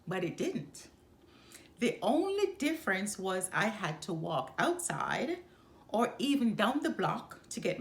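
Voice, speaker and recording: A woman reading a children's picture book aloud as a storyteller, expressively and with measured, emphatic phrasing. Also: mid-range voice.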